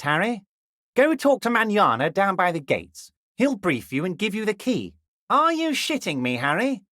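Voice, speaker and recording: Game role: smug, crooked male union boss. Unctuous fake bonhomie, very lively intonation, dry studio sound. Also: high voice, no echo, exaggerated voice. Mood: jovial, conniving, then amused, probing. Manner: unctuous, falsely friendly, theatrical. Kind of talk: video game character dialogue.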